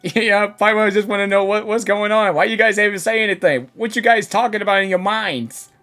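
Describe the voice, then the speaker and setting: A woman game streamer giving casual, rambling, excitable live reactions. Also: medium pitch, fairly quick. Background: game music.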